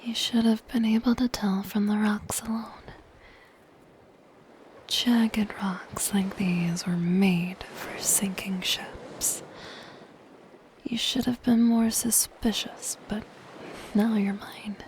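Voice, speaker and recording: Woman as a slow, whispering siren over surf. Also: mid-range voice, steady tone, natural voice, rough recording. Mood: coyly menacing. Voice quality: breathy, soft, mostly whispered. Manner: slow, gentle, coyly possessive. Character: soft-spoken lonely siren, menacing yet shy and curious. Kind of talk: ASMR roleplay. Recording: ocean surf noise behind the voice. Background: ocean waves.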